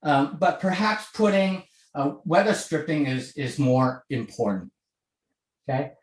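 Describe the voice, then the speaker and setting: A man's voice: a little high, measured pace, roomy sound. A man in his sixties from Hong Kong giving a conversational talk to an online audience, often checking in with "okay?". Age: 60s. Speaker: speaker. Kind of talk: presentation to a virtual audience. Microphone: video call.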